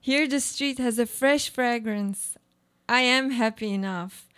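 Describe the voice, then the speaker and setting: A woman acting a guarded, high-voiced monologuist. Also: unhurried, fairly bright tone, smooth. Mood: quietly content. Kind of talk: live radio play monologue.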